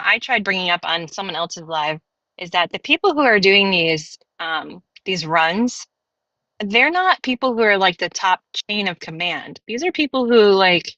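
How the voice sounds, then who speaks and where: A woman's voice: mid-range voice, sharp tone, dry recording, dull audio. A woman talking animatedly as a panel guest in casual chat, often breaking into laughs.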